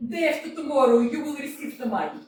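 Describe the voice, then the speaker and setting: An actress playing a prim widow in mourning, formal and clipped in a staged reading. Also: female voice, high-pitched, animated, fast, a crowd in the background. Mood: cool. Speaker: actor in a community staged reading. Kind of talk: staged play reading, theatrical. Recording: echoey theater from far off, faint hiss.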